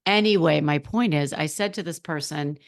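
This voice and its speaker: A middle-aged woman hosting a casual solo podcast, a little hoarse, chatty and animated, talking straight to her listeners as a coach. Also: deep voice, normal speed.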